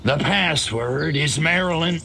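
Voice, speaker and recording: An actor voicing an arrogant, sardonic alien invader passing as human in a video game, speaking with haughty, deliberate menace. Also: male voice, a little high, vocal fry. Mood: deliberate, probing. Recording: noisy outdoor game ambience behind the voice.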